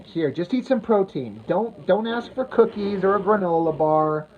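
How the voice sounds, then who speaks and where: A woman chatting loosely on a livestream, wandering from thought to thought with crude, blunt asides. Her voice sits in a middle pitch and comes through narrow and tinny, like audio over a phone line. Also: lively intonation, normal speed, slight background noise. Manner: rambling, blunt and crude, reads viewer comments aloud. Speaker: livestreamer chatting with viewers while drawing. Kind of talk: casual livestream chat.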